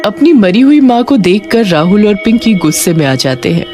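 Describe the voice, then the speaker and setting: A woman's voice: medium pitch, sing-song, moderate pace, mellow. A woman narrating a scripted cartoon horror story as its storyteller. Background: prominent background music.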